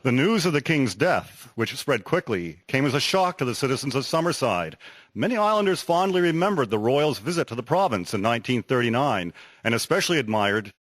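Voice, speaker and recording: A man's voice: mid-range voice, very animated, fairly quick, fairly bright tone, dry recording. A man narrating a scripted historical radio drama.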